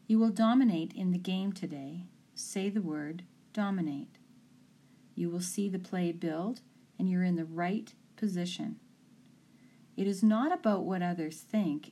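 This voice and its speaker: Slow, mellow woman guiding imagery. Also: fairly low pitch. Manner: calm, deliberate, instructing. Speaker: sports mental coach. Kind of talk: guided imagery meditation for athletes.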